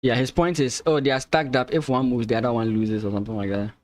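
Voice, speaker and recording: A man's voice: mid-range voice, steady tone, fast, darkish tone. Man thinking aloud over a chess stream. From Ghana. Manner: thinks aloud, hesitant restarts, laughs easily. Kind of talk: live chess commentary with chat.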